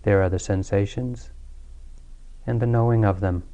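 Guy doing guided meditation, calm and unhurried, low smooth voice, very even tone. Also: dull audio. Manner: calm, unhurried, soothing delivery with long pauses. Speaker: meditation teacher.

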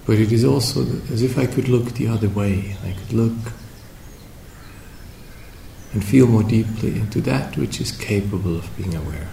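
A man leading a guided meditation as a teacher, speaking reflectively in short phrases with long pauses between them. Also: lowish voice, creaky, dark tone. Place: indoor room at a meditation retreat. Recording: roomy echo with faint background noise.

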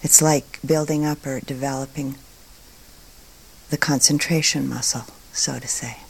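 A woman teaching meditation, speaking calmly and deliberately in short phrases with long pauses between them. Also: a bass voice, creaky, husky, poor audio. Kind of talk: guided meditation talk.

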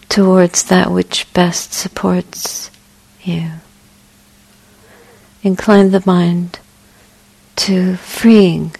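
A woman meditation teacher leading a guided meditation in a soft, breathy, gentle voice, unhurried and with long pauses. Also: fairly low pitch, low-quality recording.

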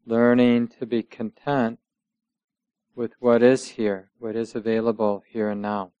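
A man leads a guided meditation at a very slow pace, in a smooth, clear voice that stays very even in pitch. He speaks gently, in short measured phrases separated by long pauses. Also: no room sound, lo-fi sound. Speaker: meditation teacher.

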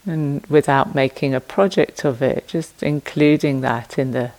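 A woman teaches meditation in a gentle, even voice that barely rises or falls. She speaks slowly and leaves long pauses between phrases. Her tone carries a noticeable gravelly fry. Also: a bass voice, poor audio. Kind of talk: guided meditation instruction.

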